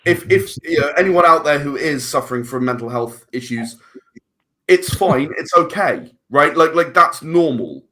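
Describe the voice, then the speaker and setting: Halting man with a bright voice. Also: a little high, expressive, slight background noise. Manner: halting, rambling, repeats words as he searches for phrasing. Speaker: interview guest, filmmaker and audio drama writer. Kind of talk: casual podcast conversation.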